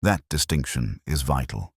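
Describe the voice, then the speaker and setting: A man's voice: very deep voice, animated, moderate pace, creaky, husky, no echo, studio quality, pitched below the performer's own voice, exaggerated voice. A man voicing a keen, imperious master detective in an audiobook, speaking formally and precisely, with a lecturing emphasis. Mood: approving. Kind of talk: audiobook detective story dialogue.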